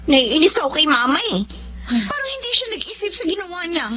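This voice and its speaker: Hum and noise sit behind a high young female voice with a Filipino accent. a protective daughter in a radio drama, outspoken and resentful. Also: big pitch swings, brisk, bright, forceful tone, heavily acted. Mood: annoyed. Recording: dull lo-fi audio with noise, hum.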